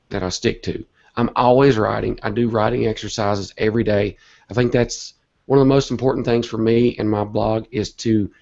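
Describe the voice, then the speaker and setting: A man with a cold and a hoarse voice, talking earnestly and a bit rambling as an interview guest. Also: lowish voice, darkish tone. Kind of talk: casual online interview talk. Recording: dull lo-fi web call audio.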